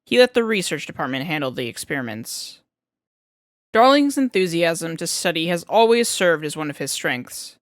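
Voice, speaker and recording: A woman's voice: fairly low pitch, very animated, normal speed, no room sound, clean studio sound. A woman reading scripted fiction as an audiobook narrator.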